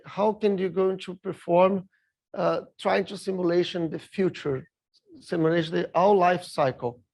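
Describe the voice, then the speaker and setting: Halting Brazilian-accented man presenting. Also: sits high, gravelly, darkish tone. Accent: Brazilian Portuguese. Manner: halting, many filler sounds, apologetic about his English. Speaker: industry speaker at a rail seminar. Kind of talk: conference presentation with slides.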